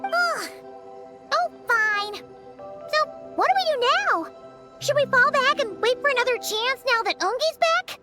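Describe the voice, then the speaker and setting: A woman voicing a tiny, chirpy, childlike fairy companion in a video game, excitable, nosy and given to exclaiming. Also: very high voice, a little slow, long pauses, faint music behind, exaggerated voice. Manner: exclamatory, refers to self in third person.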